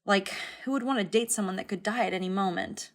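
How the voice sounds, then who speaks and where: A woman's voice: mid-range voice, quick pace, lightly acted. A woman playing an anxious young cartoon passenger who overshares in a rambling, candid, self-deprecating, naturalistic way. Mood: anxious, confessional. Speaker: passenger in an animated series. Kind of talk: naturalistic confessional conversation.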